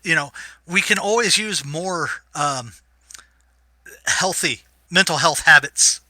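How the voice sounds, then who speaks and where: An interview host asking relaxed, encouraging questions. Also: medium pitch, slow pace, no room sound. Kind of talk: interview hosting.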